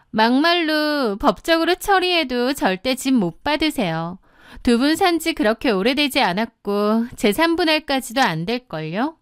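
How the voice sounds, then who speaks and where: A woman voicing a brazen young mistress in an audio drama, calm, cheeky and mock-polite as she taunts a wife. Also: high-pitched, smooth, no room sound, studio quality, voice pushed up. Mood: brazenly polite.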